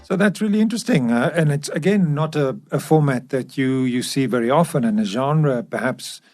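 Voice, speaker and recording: A man's voice: medium pitch, a little fast, a little mellow, soft background music. Conversational man hosting. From South Africa. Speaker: podcast host. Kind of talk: conversational podcast discussion.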